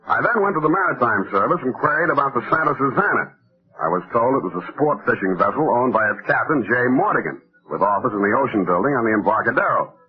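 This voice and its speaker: An actor playing a wry, self-assured private detective in a mid-century radio drama, narrating and questioning in a sardonic way. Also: male voice, medium pitch, expressive, fast, natural voice. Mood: brisk narrating. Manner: wry, sardonic, hard-boiled. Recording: tinny, narrow-band old radio recording.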